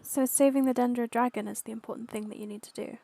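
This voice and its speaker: A woman's voice: a little high, steady tone, fast talker, creaky, mellow, slight background noise. A woman streamer giving casual commentary while playing through a video game.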